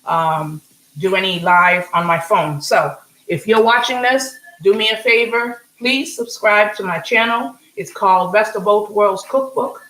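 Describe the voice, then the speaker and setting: A woman's voice: medium pitch, fairly even intonation, unhurried, fairly bright tone. Chatty woman from Senegal, echoey kitchen. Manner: chatty, rambling, frequent ums and "okay?". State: slightly hoarse voice. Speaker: home cook, channel host. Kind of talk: casual live cooking stream. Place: home kitchen. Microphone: computer or phone camera mic.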